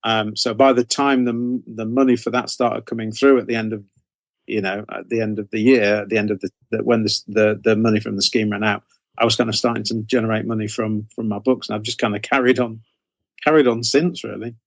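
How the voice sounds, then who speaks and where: A man's voice: on the low side, fairly even intonation, fairly quick, no echo. A middle-aged British man telling stories about himself as an interview guest, in a casual, modest, rambling conversational way. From United Kingdom.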